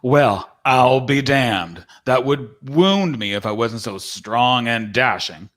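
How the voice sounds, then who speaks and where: A man's voice: mid-range voice, takes it slowly, fairly bright tone. A man playing a proud, folksy old-time cowboy spirit from a painting in a dramatic script reading, with boastful, rustic phrasing. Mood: proud, wistful.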